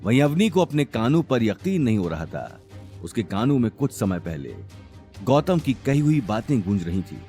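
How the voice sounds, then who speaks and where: Animated male drama narrator over music. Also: medium pitch, moderate pace. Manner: dramatic, expressive. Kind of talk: scripted audio drama narration in Hindi.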